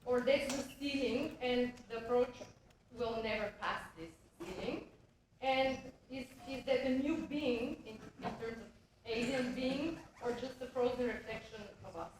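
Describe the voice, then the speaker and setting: High-pitched woman in an echoey room. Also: unhurried, slight background noise. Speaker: audience member asking a question. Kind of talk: spontaneous audience question. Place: lecture event. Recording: echoey room, uneven miking.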